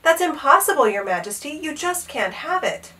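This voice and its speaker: Woman acting out an anxious, high-voiced young page boy. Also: sing-song, moderate pace, bright, forceful tone, recorded from a distance, full character voice, rough recording. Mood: startled pleading. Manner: timid, respectful, cautioning. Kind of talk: animated children's storybook read-aloud.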